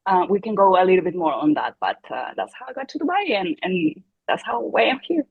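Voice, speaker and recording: Animated Chilean woman, husky and lo-fi. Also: on the low side, brisk, no room sound. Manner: animated, quoting her own thoughts with gasps. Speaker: podcast guest. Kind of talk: conversational interview. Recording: dull lo-fi sound.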